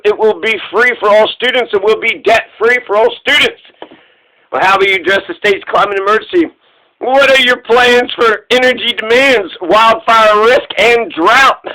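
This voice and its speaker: High-pitched, bright-voiced male political host. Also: low-quality recording. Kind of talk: political commentary and reading out a question and answer.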